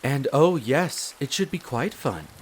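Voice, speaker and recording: A man voicing a courteous, devoted butler in an audio roleplay, speaking in a formal, polite and measured way straight to the listener. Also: medium pitch, low-quality recording. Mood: courteous, pleased. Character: courteous, devoted demi-human butler in a vampire's castle. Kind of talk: scripted roleplay addressed to the listener. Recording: rain noise behind the voice. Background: steady rain of a storm.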